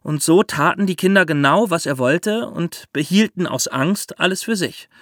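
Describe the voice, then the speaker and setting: A man hosting a podcast, explaining step by step in lively storytelling for children with theatrical emphasis. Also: on the high side, normal speed, no echo, studio quality.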